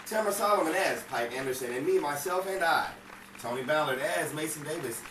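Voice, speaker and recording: A man's voice: a little high, lively intonation, a little fast. A man reading cast credits as a sign-off in the style of an old-time radio announcer. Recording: roomy, distant, noisy recording.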